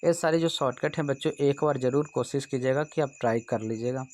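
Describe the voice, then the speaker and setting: A man's voice: mid-range voice, fast talker, darkish tone, slight background noise. A man from India with a Bihari accent, speaking as a computer teacher in an explanatory exam-prep lesson, instructive and often checking that students follow. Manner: instructive, repeatedly checks understanding.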